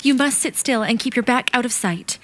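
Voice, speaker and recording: A woman plays a prim elder sister of sixteen in an audio play. The pitch is high, and the manner is fussy, ladylike and fretful, with a streak of vanity. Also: fairly quick, lightly acted. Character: Meg, prim, vain sixteen-year-old elder sister. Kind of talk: audio drama acting.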